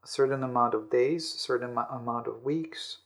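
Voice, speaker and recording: A man giving explanatory self-help answers as an interview guest, often repeating words and rephrasing in halting steps. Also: medium pitch. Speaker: interview guest, audiobook author.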